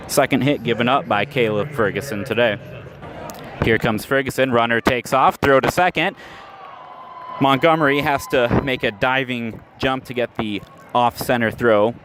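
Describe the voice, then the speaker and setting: A man's voice: medium pitch, fairly bright tone, a little hiss or hum. A man working as a radio baseball announcer, calling the game live in clipped phrases as the action happens. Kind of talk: live baseball play-by-play commentary. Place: live at an outdoor ballpark.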